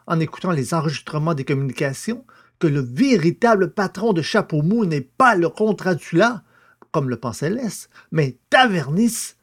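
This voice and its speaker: Slightly high-voiced male drama narrator. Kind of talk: scripted recap narration for a French audio drama.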